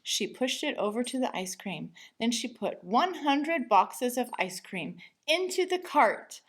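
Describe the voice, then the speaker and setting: Animated, high-voiced woman reading a storybook. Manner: animated, deliberate storytelling emphasis. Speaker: storyteller reading a picture book. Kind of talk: expressive children's storybook narration.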